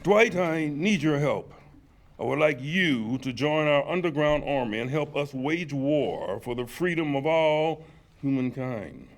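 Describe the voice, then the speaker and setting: An actor at a table read playing a grave, cryptic leader of an underground army, speaking with deliberate portent. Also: male voice, fairly high pitch, lively intonation. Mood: grave, mysterious. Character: solemn cryptic underground-army leader in sunglasses and leather coat. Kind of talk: live script table read, dramatic. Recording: faint noise, live room table read.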